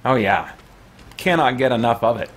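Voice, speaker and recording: A man's voice: medium pitch, lively intonation, moderate pace. A middle-aged man casually commentating on a video game he is playing, musing aloud with mild folksy exclamations and reading out chat. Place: small indoor room at home. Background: video game music.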